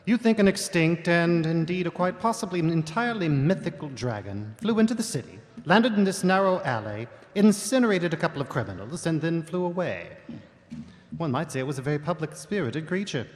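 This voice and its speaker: A man's voice: a little high, expressive, close to an everyday voice. Man as a sardonic ruling lord, echoing hall. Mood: dry, skeptical. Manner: dry, measured, commanding. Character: coolly sardonic, authoritative ruling lord of the city. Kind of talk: live audio theatre acting. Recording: reverberant live hall.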